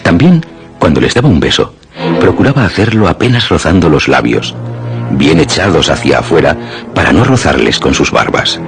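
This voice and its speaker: A man narrates a suspense radio drama. His very deep voice is measured yet lively in melody, with music clearly behind it.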